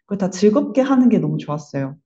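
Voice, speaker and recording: A woman's voice: medium pitch, big pitch swings, quick pace, mellow. A woman commenting casually as part of a team discussion. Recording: dull, muffled sound.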